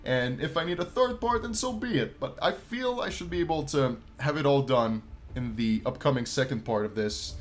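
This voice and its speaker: A man's voice: medium pitch, animated, moderate pace, soft background music. A man hosting a gaming video, reading a visual novel aloud and commenting casually in a playful, storytelling way.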